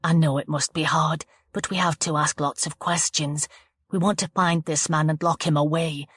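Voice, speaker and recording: A woman reading a gentle, steady female detective. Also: low-pitched, moderate pace, husky, no echo. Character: calm, caring female police detective.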